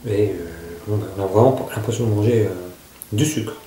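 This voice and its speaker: A man's voice: lowish voice, a little fast, warm tone, slight background noise. A man casually reviewing survival gear to camera. He often hesitates and searches for his words. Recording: echoey room.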